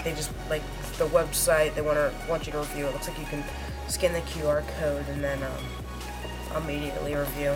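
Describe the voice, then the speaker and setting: A woman with a slightly hoarse voice, rambling through a casual unboxing review with lots of fillers. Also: low voice. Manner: rambling, halting, many fillers. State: hoarse, under the weather. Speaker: tech reviewer.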